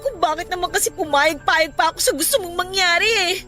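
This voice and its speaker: An anxious young college girlfriend in a Filipino radio drama. Her voice is very high and bright, snappish and accusing, with faint music underneath. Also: a little fast. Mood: tearful, panicked.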